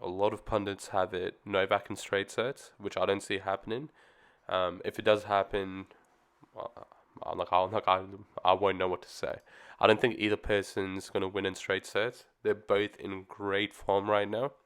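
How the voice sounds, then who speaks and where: Man, low flat delivery, smooth voice a touch worn from the flu, laid-back rambling vlogger. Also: moderate pace. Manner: easygoing, rambling, frequent ums and restarts. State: getting over the flu, voice slightly off. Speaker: tennis commentary vlogger. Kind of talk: casual solo opinion talk.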